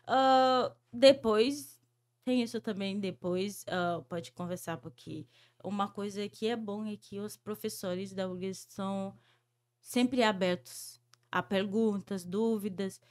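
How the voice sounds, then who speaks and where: A woman's voice: fairly high pitch, a little mellow. A woman whose first language is French, hosting a podcast interview and talking in a hesitant way, with many fillers and restarts. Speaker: podcast host. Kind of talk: conversational interview.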